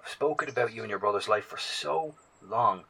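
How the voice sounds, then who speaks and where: Guy acting earnest shaken survivor in audio drama, really deep n husky, formal but keeps stumbling. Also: animated, sharp tone, exaggerated voice. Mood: apologetic, uneasy. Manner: halting, formal wording, emphatic. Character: earnest, shaken male survivor and researcher, awed and cautious.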